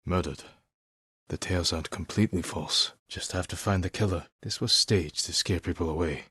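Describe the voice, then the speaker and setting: A man voicing a stoic, honorable samurai warrior in a video game, speaking in a measured, solemn and restrained way. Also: low-pitched, bright, forceful tone, slightly rough, no room sound, studio quality, exaggerated voice. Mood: quiet, brooding. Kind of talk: dramatic video game voice acting.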